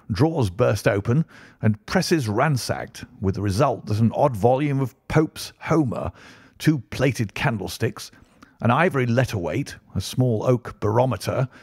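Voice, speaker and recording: A man voicing a hearty, unflappable country colonel with bluff, old-fashioned gentlemanly talk in an audiobook story. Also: medium pitch, husky, no room sound, clean studio sound, voice pushed up. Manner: bluff, old-fashioned upper-class phrasing.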